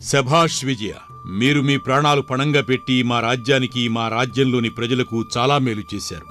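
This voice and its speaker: Male actor as storybook king: formal, authoritative, declamatory, mid-pitched voice over music. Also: fairly even intonation, normal speed, fairly bright tone, natural voice. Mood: approving. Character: dignified king, questioning and authoritative. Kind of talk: dramatised Telugu folk tale.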